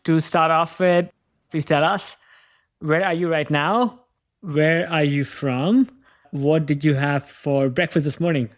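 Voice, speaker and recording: A man's voice: fairly high pitch, fairly even intonation, slow pace, soft background music. A man hosting a podcast, reading an earnest scripted introduction and then asking his guest questions in an encouraging way. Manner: earnest, encouraging, addresses listeners as friends. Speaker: podcast host.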